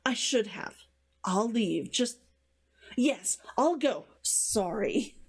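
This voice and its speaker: An uneasy woman from a fantasy novel, played by a female narrator. She apologizes haltingly and hesitantly, her pitch moving in a lively way. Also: husky, exaggerated voice. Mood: flustered.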